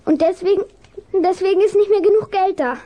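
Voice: very high-pitched, fairly quick, dark tone. A performer in a German radio play voicing a small, tearful, frightened boy who stammers and pleads. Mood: tearful, stammering.

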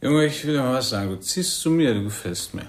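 Ein Schauspieler spielt in einem Hörspiel einen lässigen, vergesslichen jungen Jazzkornettisten, mit rauer, umgangssprachlicher Sprechweise. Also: male voice, mid-range voice, lively intonation, fast, lightly acted. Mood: chummy. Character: Bix, easygoing, forgetful young jazz cornetist. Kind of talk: German radio drama acting.

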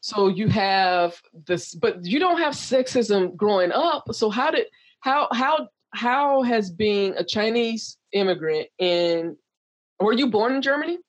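Frank, husky-voiced woman interviewer. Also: medium pitch, lively intonation, measured pace. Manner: frank, swears, searches for careful wording. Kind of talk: casual interview talk.

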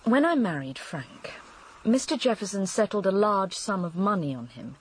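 An actress playing a candid, world-weary English widow in a radio drama, confiding and matter-of-fact. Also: female voice, medium pitch, big pitch swings, normal speed, slightly rough, rough recording. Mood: confiding, resigned.

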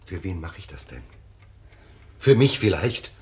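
An actor in a German radio drama playing a gruff, defensive former detective who talks in terse, grumbling phrases. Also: male voice, low-pitched, big pitch swings, unhurried, darkish tone. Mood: exasperated, defensive.

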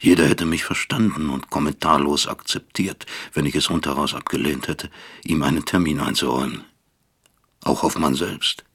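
Deep, husky, bitter professor. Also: male voice, a bit bright, heavily acted. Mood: brooding. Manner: deliberate, rhetorical, emphatic, sardonic. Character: bitter, brooding East German male doctor and professor. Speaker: actor. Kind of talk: German radio drama acting, dialogue and inner monologue.

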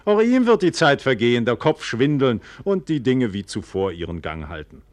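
A man's voice: medium pitch, big pitch swings, normal speed, dry recording, lightly acted. An actor playing a proud, trusting, impassioned nobleman in a classical German radio drama, with emphatic, rhetorical delivery. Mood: unconcerned, assured.

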